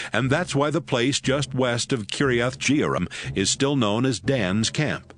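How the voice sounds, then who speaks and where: A man narrating scripture in a steady, measured way. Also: fairly low pitch. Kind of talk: scripted audio Bible narration.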